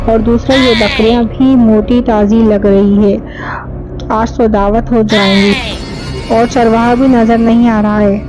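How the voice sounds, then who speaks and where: A hungry, scheming male wolf from a cartoon tale, as a woman narrator puts him on, dropped lower than her bright high voice. He talks slyly and greedily. Music plays under the whole recording. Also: steady tone, unhurried, close to an everyday voice, poor audio. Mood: gloating. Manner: sly, gloating, then fawning. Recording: background music.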